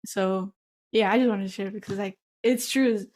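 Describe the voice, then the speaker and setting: A woman with a medium-pitched voice hosts a casual podcast conversation. She is informal and agreeable, often feeling her way through a thought in short, halting phrases. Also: fairly even intonation, darkish tone. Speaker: podcast host. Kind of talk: casual chat.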